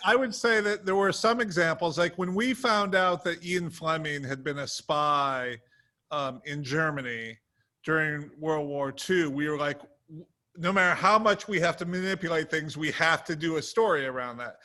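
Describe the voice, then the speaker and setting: A man with a somewhat high, very bright and forward voice talks as a panel guest. He recounts behind-the-scenes writing stories in a casual, anecdotal style. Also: moderate pace. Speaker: television writer and producer, panel guest.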